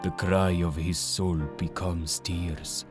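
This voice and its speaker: A man's voice: low-pitched, level tone, over music. A man playing a solemn, mysterious servant of a death god in an audio drama, speaking formally and ominously. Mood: sorrowful solemnity. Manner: slow, ominous, formal delivery.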